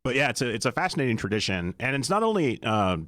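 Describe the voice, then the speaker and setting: A man's voice: medium pitch, sing-song, quick pace, fairly bright tone, dry recording. A man co-hosting a casual radio talk show, chatty and speaking straight to the listeners. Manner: chatty, addresses listeners directly. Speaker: radio co-host.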